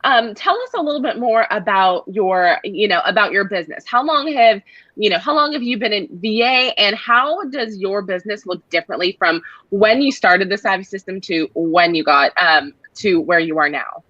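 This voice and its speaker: A woman's voice: mid-range voice, animated, normal speed, pushed and bright, no echo, muffled recording. A woman from California hosting a panel of her program's students on a video call, enthusiastic and gushing.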